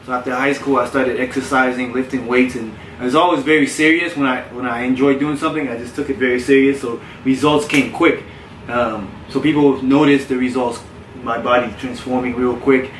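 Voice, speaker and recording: A man who grew up in South Florida, a personal trainer telling his story in a relaxed, candid way with frequent restarts. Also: medium pitch, a little fast, slight background noise. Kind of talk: casual podcast interview. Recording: roomy, distant mic with faint ambience.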